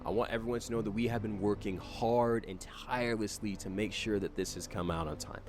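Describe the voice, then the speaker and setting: A man's voice: lowish voice, fairly even intonation, brisk, a little mellow, slight background noise. A man narrating a game team's update in an earnest, informal way, speaking directly to the audience. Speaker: announcer for a game development team. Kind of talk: semi-scripted update and thank-you narration. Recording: music playing clearly behind the voice.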